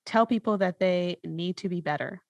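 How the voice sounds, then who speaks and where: A woman's voice: on the low side, normal speed, vocal fry, no room sound, dull audio. A woman speaking reflectively in a conversational interview, searching for her phrasing and often checking in with "right?". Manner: thoughtful, hesitant, searching phrasing with rhetorical "right?".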